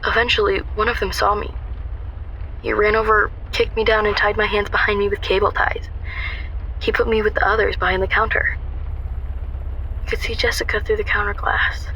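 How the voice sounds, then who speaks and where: A woman's voice: mid-range voice, flat delivery, normal speed, pauses often, pushed and bright, slight background noise, poor audio. A woman playing an anxious, guarded young shop worker, narrating a radio drama in a wry, confessional way. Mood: wry, uneasy narration.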